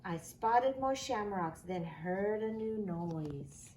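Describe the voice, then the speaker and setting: A woman reading a children's picture book aloud expressively, with deliberate, dramatic phrasing. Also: medium pitch, lively intonation, a little mellow. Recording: echoey room, faint background noise.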